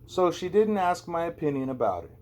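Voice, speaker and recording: A man's voice: on the high side, sing-song, fairly quick, slight background noise. A man narrating a first-person novel as an amateur audiobook reader, in a conversational, wry storytelling way.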